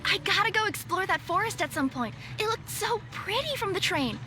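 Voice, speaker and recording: A woman's voice: very high voice, expressive, moderate pace, music in the background. A woman voicing a confident, playful young female yokai courier in a video game, chatty and curious. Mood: wistful, hopeful. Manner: chatty, breezy.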